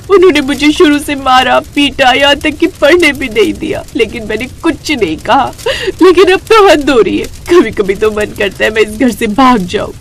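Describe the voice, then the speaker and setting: A woman's voice: sits high, slight background noise. Woman voicing a sorrowful, meek young soup-seller. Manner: pleading, plaintive.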